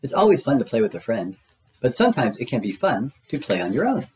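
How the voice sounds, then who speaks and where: A man voicing a kind, reassuring young boy in a gentle, encouraging manner. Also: medium pitch, big pitch swings, quick pace, natural voice.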